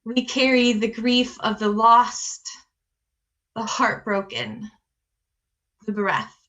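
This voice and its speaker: Female worship leader for a solemn benediction: bright, forceful tone, slow measured cadence. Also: a little high, steady tone, highs cut off. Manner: measured, with repeated cadenced phrases. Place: online video call.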